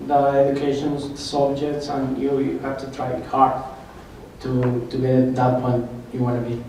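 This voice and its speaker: A young man student, a native Spanish speaker, speaking shyly and haltingly into a handheld mic. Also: medium pitch, monotone, mellow. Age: young adult. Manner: shy, halting. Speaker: student panelist. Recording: echoey room from far off, faint noise.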